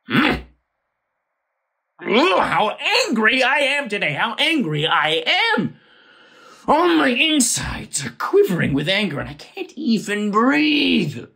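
Casting: woman as blustering male landowner in stage farce, husky voice, wildly animated delivery, constantly exasperated. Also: medium pitch, slow pace, exaggerated voice. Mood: fuming. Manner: ranting, exasperated, theatrical. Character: irate, blustering male landowner demanding his money. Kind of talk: theatrical audio play acting.

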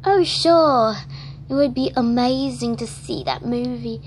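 A woman's voice: a little high, unhurried, a little mellow, slight background noise, lightly acted. A woman voicing a meek, eager girl doll in a put-on British accent, going along with her friend.

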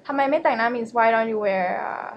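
A woman's voice: on the high side, racing, highs cut off, slight background noise. A young woman with a Thai accent, a Thai native speaker, casually teaching Thai on a livestream from a small bedroom, chatty with lots of fillers and restarts. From Thailand. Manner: chatty, laughs often, many fillers and restarts.

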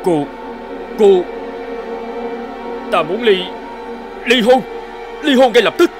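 A pompous, stingy lord in a cartoon folktale. His voice is fairly high and swings widely in pitch as he exclaims. Music plays behind him. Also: lively intonation, slow pace. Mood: shocked, furious. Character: stingy, suspicious, hot-tempered rich lord. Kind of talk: animated folktale acting.

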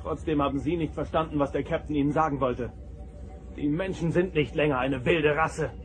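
An actor playing a resolute, dutiful starship first officer in a radio drama, with a direct, military manner. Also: male voice, a little high, steady tone, moderate pace, faint music behind, close to an everyday voice. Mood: earnest.

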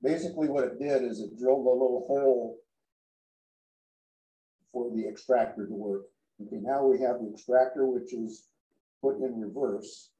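A man's voice: medium pitch, moderate pace, long pauses, warm tone. A man hosting a woodworking show, explaining step by step as he works in the shop. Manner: explains step by step while working. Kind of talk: casual workshop demonstration. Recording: echoey workshop.

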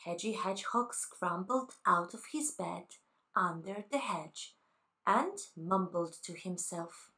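A woman narrating a children's story for a puppet show in a measured, deliberate way. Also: on the low side, lively intonation, pushed and bright, slightly rough.

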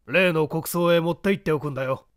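A man voicing a composed, commanding gang leader of gentleman thieves in a storybook reading, curt and self-assured. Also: on the high side, fast, no room sound, studio quality, lightly acted.

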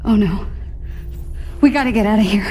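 A woman's voice: mid-range voice, moderate pace, music in the background, close to an everyday voice. An actress playing an earnest, eager young superhero fangirl in a video game cutscene. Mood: anxious, urgent.

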